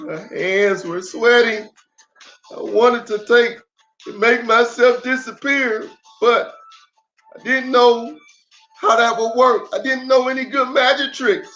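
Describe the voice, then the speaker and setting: A man reading a children's story aloud in an expressive, dramatic way with deliberate pauses. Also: fairly high pitch. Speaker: storyteller, pastor. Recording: roomy echo, faint music.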